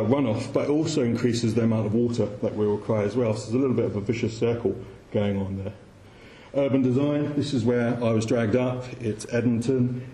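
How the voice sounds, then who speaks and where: Mellow-voiced man presenting in an echoey room. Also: mid-range voice. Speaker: conference speaker. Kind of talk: informal presentation to an audience. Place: indoor room with audience. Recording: echoey room with faint background noise.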